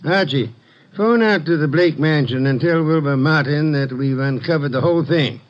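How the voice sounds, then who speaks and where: A man voices a grand, imperious detective who speaks in measured, formal sentences. His voice sits a little high for a man. It comes through a muffled old radio broadcast. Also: lightly acted. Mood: calm, formal. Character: portly, imperious male detective genius. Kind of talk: 1950s radio drama acting.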